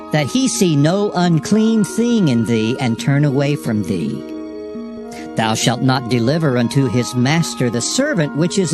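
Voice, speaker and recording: A man giving a solemn, declamatory dramatized scripture reading in measured phrases. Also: a little high. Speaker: Bible reader. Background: music.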